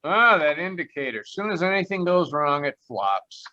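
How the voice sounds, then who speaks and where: An actor playing an offhand, evasive elevator operator in a 1940s-style radio play. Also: male voice, a little high, big pitch swings, moderate pace, pushed and bright, dry recording, lo-fi sound. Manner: casual, evasive.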